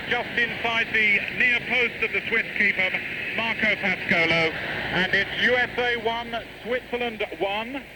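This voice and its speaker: Male football reporter, high raised voice, live match report, clearly noisy shortwave signal. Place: football stadium. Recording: noisy shortwave radio broadcast.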